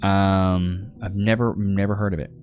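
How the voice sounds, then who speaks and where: A man's voice: deep voice, little pitch movement, unhurried, darkish tone, faint music behind. A man from Texas, hosting a casual livestream, reading viewer comments and calling people bro. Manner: laid-back, calls viewers bro and brother. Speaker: livestream host.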